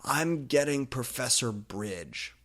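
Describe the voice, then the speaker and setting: A man voicing a hot-tempered, sweary, petty male official in a story, shouting indignantly. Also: mid-range voice, expressive, takes it slowly. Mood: angry.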